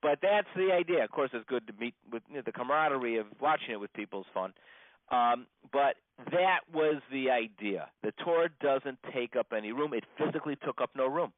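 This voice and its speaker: A man, a rabbi, giving an informal religious lesson in a halting, conversational way, repeating points for emphasis. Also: medium pitch, lively intonation, no room sound. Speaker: rabbi teaching a class. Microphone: phone. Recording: muffled band-limited phone conference call.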